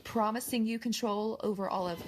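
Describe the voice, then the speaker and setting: A woman's voice: mid-range voice, fairly even intonation, a little fast, soft background music, natural voice. A woman playing a shrewd, menacing crime boss in an audio drama, articulate, mocking and commanding. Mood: cool, commanding.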